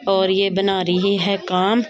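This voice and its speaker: A woman's voice: medium pitch, completely flat delivery, slow, muffled recording, a crowd in the background. Chatty woman vlogging chores. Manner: chatty, narrating chores step by step. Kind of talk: casual home vlog narration. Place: small house courtyard and rooms, indoors and out. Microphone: phone held at arm's length.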